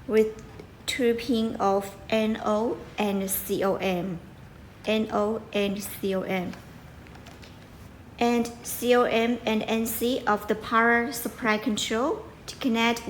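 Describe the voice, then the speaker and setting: A woman giving an informal step-by-step product tutorial in halting, non-native English, repeating her instructions. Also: on the high side, a little hiss or hum, low-quality recording. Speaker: product support demonstrator.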